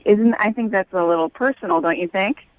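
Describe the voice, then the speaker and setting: A woman's voice: mid-range voice, fairly quick, no room sound. A young woman with an American accent, a guest talking casually and a bit hesitantly over a phone call. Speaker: called guest on a radio show.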